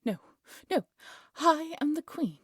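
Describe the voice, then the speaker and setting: A woman's voice: high voice, animated, slow, no room sound, studio quality, voice pushed up. A woman playing a cruel, haughty evil queen in a fairy-tale audio drama, imperious and theatrical. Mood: bitter, indignant. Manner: imperious, menacing, theatrical commands.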